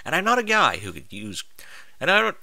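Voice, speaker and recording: A man reading a first-person story aloud in an expressive, informal way, as if acting out the narrator's thoughts. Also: fairly high pitch, fairly quick, gravelly, dry recording, poor audio. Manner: expressive, informal, sweary interjections. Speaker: fan fiction reader.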